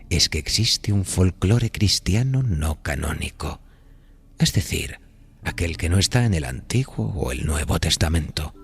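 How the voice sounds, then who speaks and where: A man narrating a scripted horror podcast in a solemn, literary, deliberate storytelling manner. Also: low voice, breathy edge. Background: music bed.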